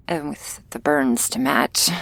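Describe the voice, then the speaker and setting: A woman playing a shy, gentle vampire girl in an ASMR roleplay, speaking softly and hesitantly, awkward but reassuring, often slipping into a whisper. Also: sits low, no room sound, exaggerated voice. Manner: hesitant, halting, soft-spoken with breathy whispers. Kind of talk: ASMR roleplay addressed to the listener.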